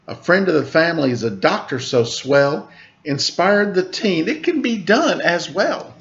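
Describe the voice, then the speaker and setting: A man reading a rhyming children's picture book aloud in a sing-song storytime manner, giving the quoted lines a lively lift. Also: on the high side, measured pace, lo-fi sound.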